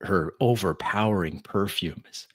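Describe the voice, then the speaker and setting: A man's voice: low-pitched, measured pace, a bit bright. A man narrating his character's actions in the first person as a tabletop game player, searching for his words.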